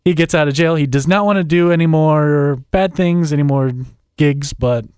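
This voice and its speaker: A man, a guest film critic, giving a wry, deadpan plot summary with quick asides in a studio. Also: on the high side, clear, resonant tone, no room sound. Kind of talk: casual chat, plot summary.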